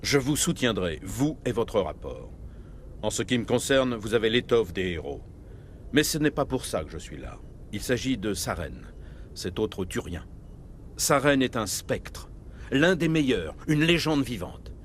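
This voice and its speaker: An actor playing a grave, middle-aged military starship captain, speaking with measured authority in video game dialogue. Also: male voice, medium pitch, sing-song, slight background noise.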